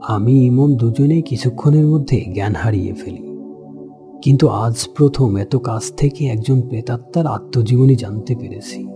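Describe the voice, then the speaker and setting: Actor as solemn male storyteller, mid-pitched, scripted cartoon horror narration, clear music behind. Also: moderate pace, lightly acted. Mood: solemn, reflective. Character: solemn male friend narrating a ghost encounter. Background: prominent background music.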